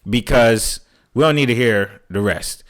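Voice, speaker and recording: A man's voice: medium pitch, a little slow. A man co-hosting a casual podcast, recounting a story in a rambling way and acting out other people's quotes. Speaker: podcast co-host. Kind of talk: casual chat.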